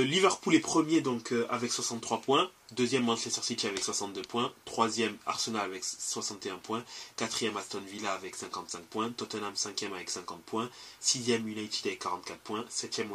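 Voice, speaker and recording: A man's voice: medium pitch, sharp tone, slight background noise. A man hosting a casual football podcast, talking in a hesitant way with many fillers and restarts. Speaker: podcast host.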